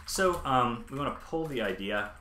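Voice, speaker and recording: A man's voice: mid-range voice, expressive, moderate pace, sharp tone. A man in his thirties live coding on a stream, thinking aloud with many ums and answering chat questions. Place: home office, indoors. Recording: echoey room.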